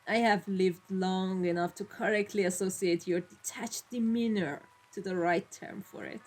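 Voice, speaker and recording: Female vampire mafia boss, played by a woman: elegant, teasing, ruthless, theatrical. Mid pitch, roleplay audio drama, music bed. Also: steady tone, a little mellow, natural voice. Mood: amused, intrigued. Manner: theatrical, deliberate, condescending, teasing endearments. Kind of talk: scripted ASMR roleplay drama. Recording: voice over background music.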